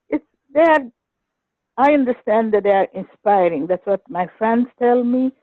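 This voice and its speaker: A woman's voice: mid-range voice, dry recording. Older woman on a dry tinny phone line. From Egypt. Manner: earnest, hesitant with restarts. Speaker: caller. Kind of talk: phone-in sharing a personal story. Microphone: telephone.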